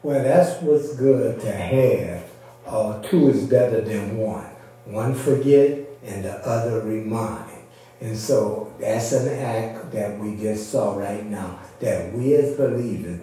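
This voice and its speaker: A man preaches as a teaching pastor, heard at a distance in a heavily reverberant room. His pace is slow and his tone is mellow. He explains things step by step and checks in with his listeners. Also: fairly low pitch, slight background noise. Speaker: pastor leading a church service. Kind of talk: Bible teaching with commentary on an audio drama. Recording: very echoey room, distant from the mic.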